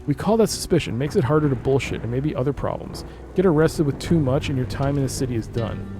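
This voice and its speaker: A man's voice: medium pitch, expressive, quick pace, poor audio. Man commentating over game music. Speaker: gaming video creator. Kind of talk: casual game playthrough commentary, reading on-screen text aloud.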